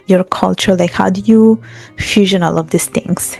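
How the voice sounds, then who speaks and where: A woman's voice: mid-range voice, steady tone, moderate pace, vocal fry, a little mellow, faint music behind, rough recording. A woman hosting a podcast interview, talking in a conversational, hesitant way with fillers. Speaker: podcast host.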